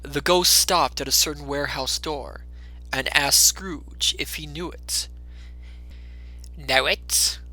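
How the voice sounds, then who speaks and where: A man reads a story as a theatrical narrator. His delivery is slow and deliberate with dramatic phrasing. The voice is bright, and music plays behind it. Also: on the high side, low-quality recording.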